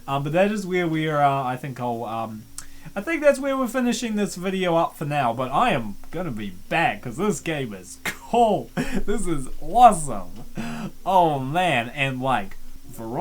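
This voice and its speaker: A let's-play commentator reacting in a loose, jokey, chatty way and laughing often. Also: mid-range voice.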